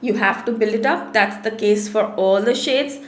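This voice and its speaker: A woman's voice: mid-range voice, normal speed, roomy sound. A woman in her thirties with a sore throat, chatting casually to camera as she reviews products in a small home studio. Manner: chatty, repeats words for emphasis. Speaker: beauty vlogger.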